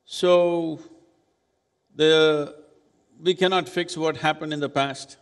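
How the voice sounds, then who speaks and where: A man in his sixties, seated on stage at a summit, answering questions in a measured, deliberate and firm way. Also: a little high, steady tone. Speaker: spiritual leader speaking as summit panelist. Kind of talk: onstage panel answer. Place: large conference hall stage. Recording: reverberant conference hall.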